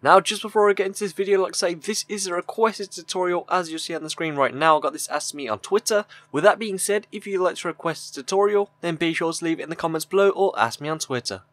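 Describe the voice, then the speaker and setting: A young man giving a casual, upbeat YouTuber voice-over for a software tutorial. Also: high-pitched, fast, hardly any pauses, no echo. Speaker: tutorial video host. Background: background music.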